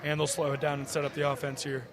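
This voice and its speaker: A man's voice: fairly high pitch, completely flat delivery, fast talker. A young American man giving color commentary on a live basketball game. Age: young adult. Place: indoor high school gym, courtside. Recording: loud gym crowd behind. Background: crowd, bouncing basketballs, buzzer.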